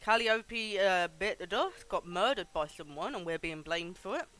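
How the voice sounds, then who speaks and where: Male livestream host, high pitch, bright tone, informal and sweary game commentary. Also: moderate pace.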